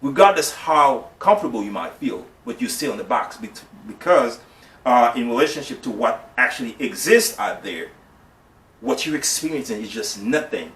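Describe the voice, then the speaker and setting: A man's voice: mid-range voice, sing-song, moderate pace, vocal fry, a bit bright. Guy from haiti explaining stuff real earnest, echoey room, kinda far from the mic. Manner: earnest, explanatory, repeats "you see what I'm saying". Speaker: guest. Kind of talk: casual discussion. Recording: echoey room, mic at a distance, faint noise.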